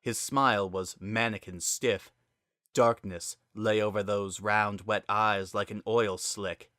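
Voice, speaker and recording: A narrator giving a scripted dramatic story reading with an intense, suspenseful, measured literary delivery. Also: low voice, fairly even intonation, fairly bright tone, no echo, clean studio sound.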